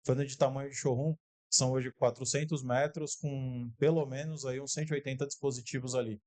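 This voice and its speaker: A man's voice: medium pitch, fairly even intonation, fairly quick, darkish tone. Friendly man inviting an audience to visit. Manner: friendly, inviting, frequent tag questions. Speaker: company representative presenting. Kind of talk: live talk at a corporate event.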